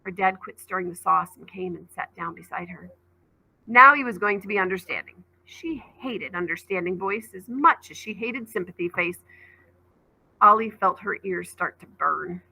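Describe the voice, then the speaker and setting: Bright-voiced woman reading a story aloud. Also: lowish voice, moderate pace, gravelly, slightly rough, poor audio. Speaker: teacher reading a children's novel aloud. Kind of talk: expressive storybook narration.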